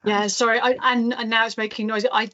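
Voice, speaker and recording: A woman's voice: on the high side, flat delivery, a little fast, pushed and bright. A woman co-hosting a webinar, talking in an apologetic, flustered way. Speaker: webinar co-host. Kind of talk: casual chat. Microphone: video call. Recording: dull lo-fi webinar audio, off-mic.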